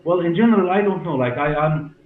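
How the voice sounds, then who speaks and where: A man in his forties with a Syrian Arabic accent, answering interview questions in halting, repetitive non-native English. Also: on the high side, expressive. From Damascus, Syria. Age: 40s. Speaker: hair clinic director and interview guest. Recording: roomy, muffled, narrow sound with music behind.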